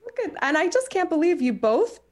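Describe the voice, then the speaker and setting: A woman hosting a casual podcast chat, reading card passages slowly between affirming, chatty remarks. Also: very high-pitched, big pitch swings, darkish tone. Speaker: podcast host reading oracle cards.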